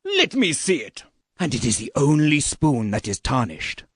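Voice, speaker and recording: A man plays an officious police sergeant in a radio mystery. His formal, methodical questioning swings widely in pitch, in a dry recording. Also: wildly swooping pitch, moderate pace, husky, dry recording. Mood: accusing. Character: brisk, methodical police sergeant investigating a death. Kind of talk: radio murder mystery acting.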